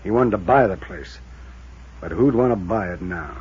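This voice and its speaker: A man's voice: deep voice, lively intonation, moderate pace, heavy background noise. An American man acting a glum, resigned cabin owner with a plain, downbeat delivery, in 1950s radio drama style. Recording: muffled vintage radio recording.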